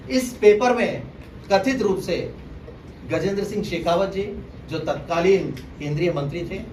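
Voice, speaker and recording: A man from Rajasthan, a former political aide, making a deliberate, emphatic press conference statement and repeating phrases for stress. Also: high voice. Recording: distant mic, echoey room, clearly noisy.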